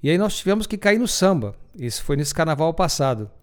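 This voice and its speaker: A man's voice: a little high, big pitch swings, brisk. A man with a southern Brazilian accent presenting songs in a conversational, storytelling way as a musician and host.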